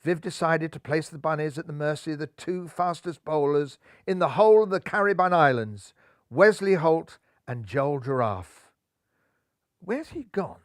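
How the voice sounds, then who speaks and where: Older guy reading a kids story, theatrical, fairly high voice, dry close sound, lapel mic. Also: normal speed, no room sound. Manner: lively, theatrical. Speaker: storybook narrator. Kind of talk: expressive children's story reading. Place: living room, seated in armchair.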